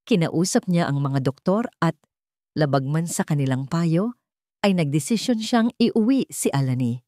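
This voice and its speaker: Female story narrator, low register, highly animated delivery, emotive and measured, dry sound. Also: no echo. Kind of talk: dramatic scripted story narration.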